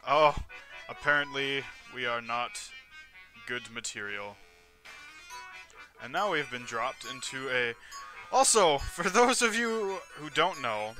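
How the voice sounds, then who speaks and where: A man with a slightly high voice hosts a casual let's play over clearly audible game music. He describes what happens on screen in a rambling way, often doubling back to correct himself. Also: sharp tone. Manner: rambling, self-correcting, narrates on-screen action. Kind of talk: casual video game commentary. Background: video game music.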